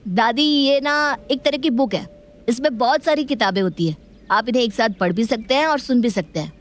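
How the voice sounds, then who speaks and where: A woman voicing a sly, wheedling schoolboy in an animated moral story. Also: a little high, normal speed, lightly acted. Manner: pleading, sly. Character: cheeky, wheedling schoolboy outwitting his elders.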